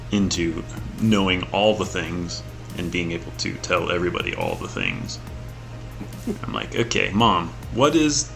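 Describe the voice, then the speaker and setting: Deep-voiced man, clear and low. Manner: chatty, laughs easily, storytelling asides. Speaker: player. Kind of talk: casual pre-game chat.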